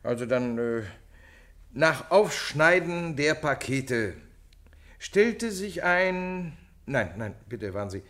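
An actor playing a fussy, easily flustered, dryly humorous police officer, speaking hesitantly and deliberately in a radio drama. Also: male voice, mid-range voice, expressive, fairly bright tone. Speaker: police officer dictating a report.

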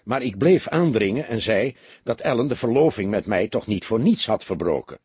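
A man's voice: fairly low pitch, lively intonation, normal speed, a little mellow, husky, no echo. A man voicing an earnest young man who reports to the police in a hesitant, deferential way, in a storybook reading. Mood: earnest, reporting. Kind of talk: audiobook character dialogue.

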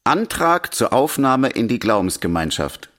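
A bureaucratic official in a radio play. His medium-pitched voice is matter-of-fact and impersonal, delivering form items in clipped, businesslike fashion. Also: very animated, unhurried, fairly bright tone. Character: impersonal official reading out church membership forms. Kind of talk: scripted bureaucratic reading in a radio drama.

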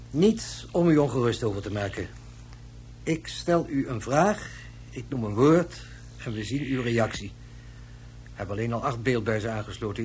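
Actor as composed clinical scientist, faint hum behind. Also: male voice, medium pitch, a little mellow, natural voice. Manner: clinical, politely condescending. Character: composed, courteous male scientist interrogating with brain-scanning apparatus. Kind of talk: radio drama acting.

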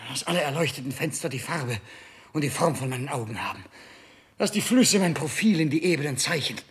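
An actor playing a grandiose, self-obsessed dying king terrified of death, theatrical in a 1960s German radio drama, with a husky, gravelly voice. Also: fairly high pitch, fairly bright tone, exaggerated voice. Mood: visionary, insistent. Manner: theatrical, slow declaiming, swinging between bombast and faint pleading.

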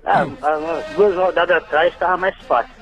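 A man's voice: on the high side, fairly even intonation, fairly quick, lo-fi sound, soft background music. Blunt paulistano caller in his forties. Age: 40s. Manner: blunt, short answers, heated about his team. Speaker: radio caller.

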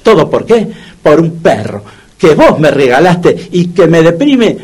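An actor playing a gloomy, bitter dog owner in a radio comedy, grumbling and whining with a Rioplatense Argentine accent. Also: male voice, fairly high pitch, big pitch swings, a little slow, a little mellow, husky, heavily acted. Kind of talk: comedic radio play acting.

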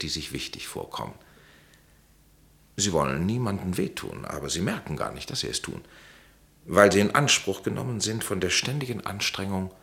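An actor playing a blunt, dryly ironic, authoritative psychiatrist in a German radio play, with a deliberate, lecturing delivery. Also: male voice, deep voice, gravelly, fairly bright tone, exaggerated voice.

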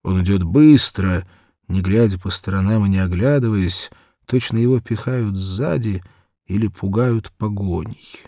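A man narrating a children's story in an expressive scripted reading. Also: lowish voice, a little slow, dry recording, studio quality. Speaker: audiobook narrator.

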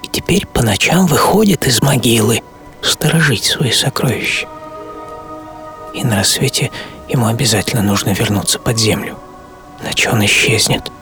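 An actor playing an obsessive middle-aged entrepreneur in a dramatic first-person radio monologue, speaking theatrically with pauses and half-whispered phrases. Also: male voice, mid-range voice, a bit bright, natural voice. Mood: hushed, eerie. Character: obsessive middle-aged entrepreneur and treasure hunter recalling boyhood. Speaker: author and performer of a radio programme. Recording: music under studio voice.

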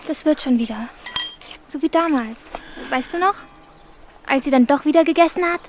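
A woman's voice: high-pitched, moderate pace, a little hiss or hum, close to an everyday voice. An actress playing a cautious thirteen-year-old girl, a loyal friend, speaking plainly in a naturalistic radio drama. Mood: reassuring.

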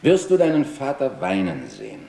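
A man's voice: low voice, huge pitch swings, normal speed, vocal fry, mellow, heavily acted. An actor playing a grave man who narrates solemnly in long formal sentences in a literary radio play. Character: grave man foretelling a bereavement scene. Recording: echoey room, distant from microphone.

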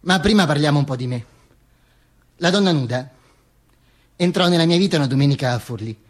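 An actor playing a vain dead dictator in a theatrical monologue, pompous and halting, with stammered repetitions. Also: male voice, fairly high pitch, animated. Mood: wry, reminiscing. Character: vain dead dictator narrating from beyond the grave. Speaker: stage actor performing a monologue.

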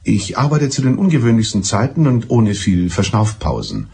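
An actor in a German radio drama playing a mild, evasive, smugly clever betrayed husband who talks in a deliberate, circuitous way. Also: male voice, fairly low pitch, sing-song, warm tone, husky, heavily acted. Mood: wistful, matter-of-fact.

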